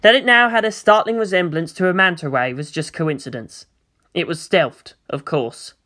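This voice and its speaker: A woman's voice: lowish voice, normal speed, fairly bright tone. A woman narrating scripted fiction in a dramatic audiobook style.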